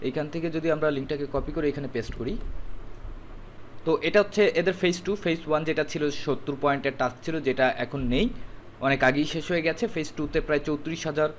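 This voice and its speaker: Fast-talking man giving a step-by-step tutorial. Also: fairly high pitch, fairly even intonation, a little hiss or hum. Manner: instructive, mixes in English words. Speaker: tutorial presenter. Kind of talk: step-by-step screen tutorial narration.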